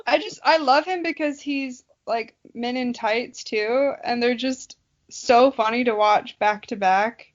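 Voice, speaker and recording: Woman, fairly high voice, podcast co-host, animated slangy anecdotes, casual chat, slightly muffled audio. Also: measured pace.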